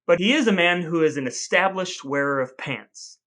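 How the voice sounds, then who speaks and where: A man's voice: high-pitched, expressive, moderate pace, a bit bright. A man co-hosting a chatty podcast in a playfully mock-formal way. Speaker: podcast co-host.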